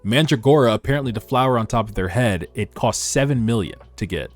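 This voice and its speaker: A man's voice: medium pitch, lively intonation, a little fast. A man hosting a read-along, mixing narration with informal, slangy, conversational commentary. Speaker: host of a webtoon read-along. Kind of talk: casual reaction commentary mixed with narrated reading. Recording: music under the voice. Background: background music.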